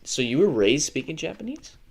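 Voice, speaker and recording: A man's voice: a little high, big pitch swings, brisk, vocal fry, breathy edge. A young man livestreaming a video game, rambling casually, swearing often and laughing at his own jokes. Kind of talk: casual live gaming commentary.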